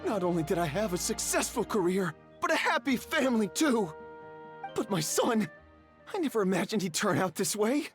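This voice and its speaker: A middle-aged swindler and father in a video game. He is desperate and theatrical, with a very animated, whiny voice that stammers and begs. Music plays behind him. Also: high-pitched, moderate pace. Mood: pleading. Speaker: voice actor in a game. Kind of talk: dramatic game voice acting.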